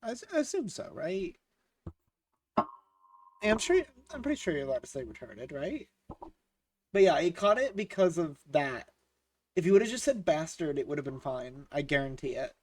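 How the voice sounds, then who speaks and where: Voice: medium pitch, sing-song, moderate pace, pauses often, darkish tone, recorded from a distance. A streamer getting over a cold and sounding sniffly, chatting casually with viewers during a live game stream, swearing freely and laughing often. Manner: profane, joking, laughs often, reads chat aloud.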